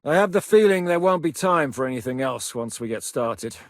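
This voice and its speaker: An actor playing a hardened, foul-mouthed war captain in a video game, gruff and commanding. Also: male voice, on the high side, animated, brisk, dry recording, clean studio sound. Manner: gruff, curses freely, barks orders. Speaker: military commander.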